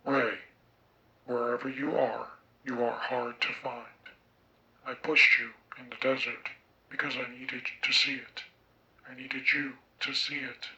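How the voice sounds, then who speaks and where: A man playing a menacing, brooding masked sci-fi villain, speaking deliberately through an electronic voice changer and small speaker. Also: mid-range voice, little pitch movement, pushed and bright. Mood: slow menace. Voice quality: electronically processed. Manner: slow, deliberate. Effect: voice changer through small amplified speaker. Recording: echoey small room, muffled speaker sound.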